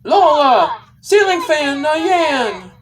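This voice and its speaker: A man voicing an exclaiming meme character who calls out to a grandma in loud, drawn-out shouts. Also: very high voice, big pitch swings, voice pushed up, heavily acted. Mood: loud, excited.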